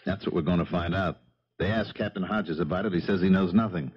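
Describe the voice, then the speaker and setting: An actor in a 1970s radio drama playing a businesslike police lieutenant with a clipped, matter-of-fact manner. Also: male voice, low voice, a little fast, husky. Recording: muffled 1970s radio recording.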